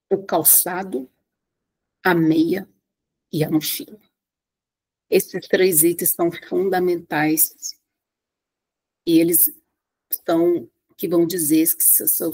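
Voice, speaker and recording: A woman's voice: deep voice, a little mellow, slightly rough. A woman from Brazil giving earnest, practical advice in a casual live presentation, with many small restarts and tag questions. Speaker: pilgrim sharing trail tips. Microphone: webcam or computer mic on a live stream.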